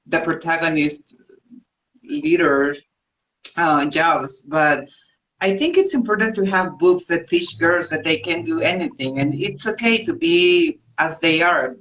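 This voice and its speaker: Illustrator, woman, Salvadoran Spanish accent, pauses to find words. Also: fairly low pitch, a bit bright, poor audio. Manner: hesitant, searching for words. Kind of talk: casual interview chat.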